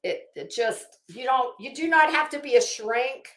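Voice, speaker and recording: A woman ranting casually as an online host, blunt and sarcastic, swearing freely and halting to stretch words for emphasis. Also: sits high, lively intonation, a bit bright. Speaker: YouTube host. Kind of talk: casual livestream rant. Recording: echoey room.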